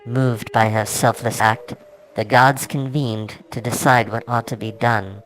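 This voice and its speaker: A man reading his own fantasy novel aloud as a dramatic, solemn, measured narration. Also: medium pitch, steady tone, fairly bright tone, slight background noise, rough recording. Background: music soundtrack.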